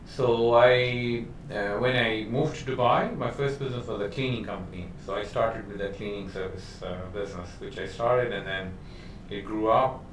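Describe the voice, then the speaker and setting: A man's voice: fairly low pitch, normal speed, few pauses. A man hosting a business podcast, talking casually, with many fillers and restarts. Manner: conversational, lots of fillers and restarts. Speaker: podcast host. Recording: roomy echo, distant mic, faint noise.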